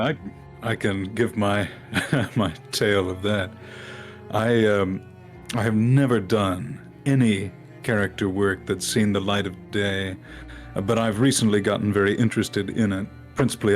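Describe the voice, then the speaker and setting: A man speaking casually as a guest, recounting his experience in a modest, hesitant manner. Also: on the low side. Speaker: voice actor and narrator, guest.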